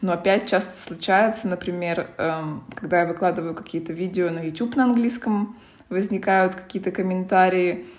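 A woman's voice: fairly low pitch, fairly even intonation, moderate pace. Russian woman musing on a podcast. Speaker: podcast co-host. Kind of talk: casual podcast chat.

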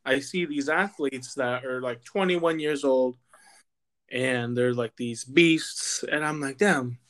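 A man's voice: medium pitch, takes it slowly, fairly bright tone. A man in his late twenties co-hosting a casual podcast, easygoing and self-mocking, often laughing. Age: 20s. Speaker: podcast co-host.

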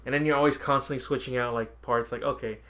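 A man's voice: mid-range voice, steady tone, quick pace, a bit bright. A man co-hosting a podcast, chatting casually about video games and often chuckling as he talks. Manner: chuckles often while talking. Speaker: podcast co-host.

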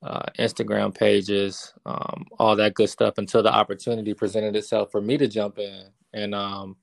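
A man in his thirties, a real estate investor, answering interview questions in a relaxed, casual way with many fillers. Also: fairly low pitch, normal speed, vocal fry, no room sound. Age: 30s.

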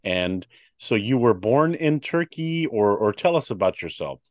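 A lower-pitched man hosts a public affairs talk show, his voice swinging up and down a lot as he chats. He talks loosely, with fillers and restarts. The sound is close and dry, with no room ringing around him. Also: lively intonation, a little slow, darkish tone, no room sound. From United States. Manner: conversational, many fillers and restarts. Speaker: host.

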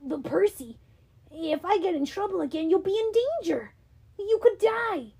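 A woman's voice: sits high, expressive, normal speed, creaky, mellow, voice pushed up. A woman doing a frantic young satyr boy. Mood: worried. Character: anxious young male satyr, high-strung.

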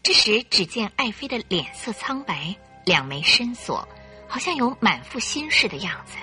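A female narrator tells a radio drama story with suspense. Her voice is bright and medium-pitched, with animated, expressive intonation and faint music behind it. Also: moderate pace.

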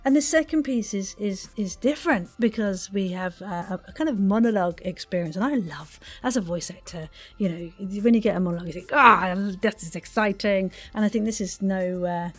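An effusive woman hosts a casual interview podcast. Her voice sits at a middling pitch, with a giggly manner and faint music behind. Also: fairly quick, hardly any pauses. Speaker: podcast host and voice actor.

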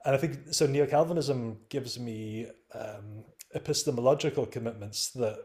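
A man's voice: medium pitch, normal speed, darkish tone. A man speaking as a podcast guest, thoughtful and hesitant, with many restarts and fillers. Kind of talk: reflective podcast discussion.

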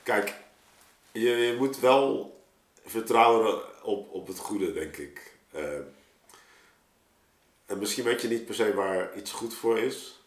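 Casting: man, lower-pitched voice, informal slangy podcast guest who meanders as he reflects, roomy echo on the recording. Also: slow pace, pauses often. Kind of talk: casual reflective group conversation. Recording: echoey room.

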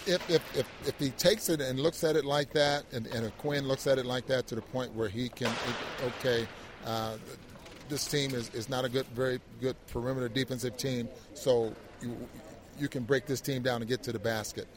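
A male sports analyst talks through a game in a conversational, halting way, repeating words as he goes. His voice is mid-pitched, with faint noise in the background. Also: fairly even intonation.

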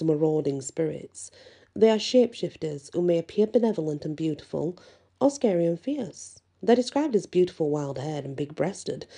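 A woman with a husky, slightly gravelly voice, reading researched notes as an informal narrator and adding casual asides. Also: lowish voice, mellow. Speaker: host of a series on spirits and folklore.

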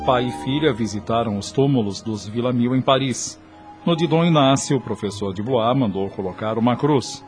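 A man's voice: mid-range voice, normal speed, over music. A man giving scripted narration for a radio soap opera in a solemn, literary manner.

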